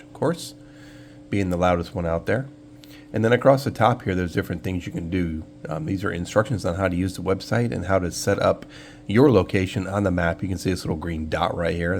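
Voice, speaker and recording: A man casually hosting a screen walkthrough, explaining things step by step in an informal, easygoing way. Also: sits low. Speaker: host of a shortwave radio channel. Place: indoor room, filming a computer screen. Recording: clear steady hum and background noise.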